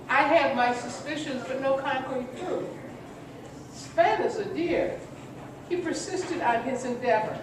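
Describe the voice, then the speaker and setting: An older woman playing a wistful, greying remarried mother, reading a reflective monologue in an amateur radio play. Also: on the high side. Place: echoey room at a senior center. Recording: roomy echo, distant mic, clear noise.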